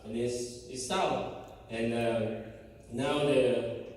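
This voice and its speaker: A man from Guatemala with a Guatemalan Spanish accent, a native Spanish speaker, giving a halting church testimony full of fillers and restarts. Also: mid-range voice, fairly even intonation, darkish tone. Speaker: mission team member giving a church report. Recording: echoey church hall from far off.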